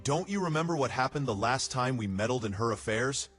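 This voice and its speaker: A man playing a cautious, wry, level-headed soldier in a fantasy audio drama, speaking in a measured manner. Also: mid-range voice, expressive, a bit bright, soft background music, close to an everyday voice. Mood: conspiratorial.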